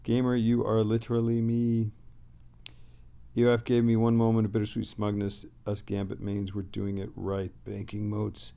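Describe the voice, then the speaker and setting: A man casually hosting a livestream, reading messages aloud and replying in a rambling, halting way that trails off. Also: lowish voice, completely flat delivery, vocal fry, mellow, smooth.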